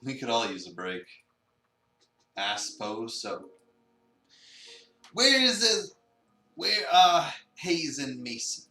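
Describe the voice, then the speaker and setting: A man's voice: mid-range voice, very animated, slow pace, pauses often, bright, forceful tone, heavily acted. A man voicing a polite, slightly stuffy, fatherly cafe staffer in a visual novel, with a mild, formal manner. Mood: friendly. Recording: roomy, distant mic.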